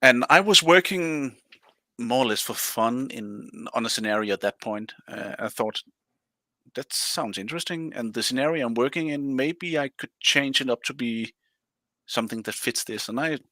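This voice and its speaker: A man's voice: mid-range voice, animated, normal speed, sharp tone, no echo. A man in his forties from Denmark, a guest chatting casually on a podcast and rambling with many restarts. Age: 40s. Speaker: guest, scenario writer.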